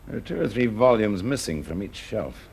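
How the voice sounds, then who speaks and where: A British man acting a shrewd, methodical fire investigator in a radio drama, measured and politely probing with small hesitations. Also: on the low side, very animated, darkish tone, slightly rough, exaggerated voice. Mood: musing. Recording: noisy vintage radio drama recording.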